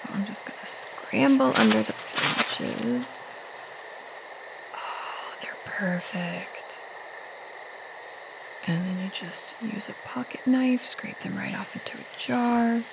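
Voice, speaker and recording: A woman's voice: medium pitch, lively intonation, slow, long pauses, whispered. A woman playing a jittery forager who records a spooky podcast in an audio drama. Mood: hushed, intent. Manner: breathy, hushed asides breaking into panicked cries. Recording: muffled, very noisy outdoor-style field recording.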